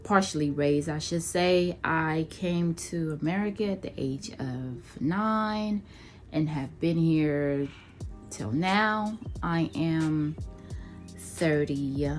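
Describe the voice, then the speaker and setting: A woman in her thirties from Nigeria giving a personal introduction to her viewers, talking slowly and deliberately with many pauses and ums. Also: low-pitched, faint music behind. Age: 30s. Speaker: vlogger. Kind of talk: casual personal introduction to camera.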